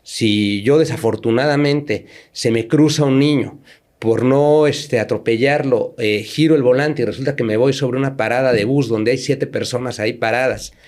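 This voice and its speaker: A man's voice: medium pitch, moderate pace, darkish tone. A man from Mexico, a company manager interviewed as a podcast guest, explaining at length with frequent fillers and repeated words.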